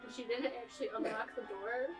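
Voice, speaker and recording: A young woman co-host tells stories in a rambling way, her voice a bit high. She sits back from the mic, and faint solo piano plays behind her. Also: steady tone, brisk, distant mic. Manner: rambling, full of fillers and laughs. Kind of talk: casual storytelling chat.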